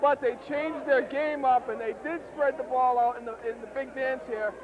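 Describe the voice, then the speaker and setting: A man doing enthusiastic, emphatic play-by-play at an amateur basketball final, his voice hoarse and wearing out. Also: very high voice, normal speed, crowd noise. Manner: excitable, emphatic. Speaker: play-by-play commentator. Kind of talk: amateur league courtside commentary. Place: courtside at a basketball game. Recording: tinny, noisy outdoor recording.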